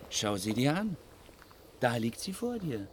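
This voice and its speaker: An actor playing a mocking, triumphant god holding a captive, in a theatrical fantasy radio play style. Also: male voice, medium pitch, very animated, unhurried, heavy background noise. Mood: smug, mocking. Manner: taunting, theatrical. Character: gloating, mocking male god, triumphant captor.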